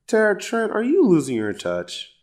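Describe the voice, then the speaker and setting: A man's voice: high-pitched, huge pitch swings, normal speed, a little mellow. A man playing an incredulous, wry hero who investigates crimes in an audio drama, exclaiming and questioning expressively. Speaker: voice actor.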